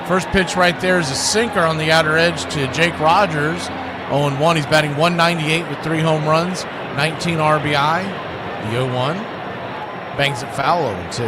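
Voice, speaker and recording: A man's voice: a little high, normal speed. A man doing live baseball play-by-play as the host announcer. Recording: very noisy outdoor-sounding ambience behind.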